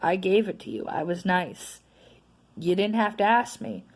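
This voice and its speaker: A woman vlogger with a naturally loud voice, venting in a casual, frustrated rant that is full of tag questions. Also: mid-range voice, unhurried, vocal fry. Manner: frustrated, ranting, frequent "right?" tags.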